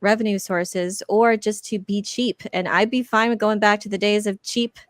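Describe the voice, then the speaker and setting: A woman's voice: mid-range voice, fairly even intonation, normal speed, dry recording. A woman speaking casually as a panelist, phrasing her points tentatively. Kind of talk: casual discussion.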